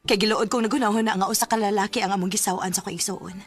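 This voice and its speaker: A woman's voice: medium pitch, fairly even intonation, very fast, breathy edge, soft background music, close to an everyday voice. A woman playing a hurt, betrayed young woman in an emotional Cebuano radio drama. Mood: bitter resolve.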